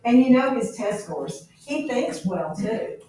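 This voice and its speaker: A woman's voice: fairly high pitch, very animated, slow pace, dark tone. Lady reading an earnest army lieutenant guy from a novel, urgent and pushy, super echoey, bit far off. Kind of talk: novel read-aloud. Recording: very echoey room, distant mic.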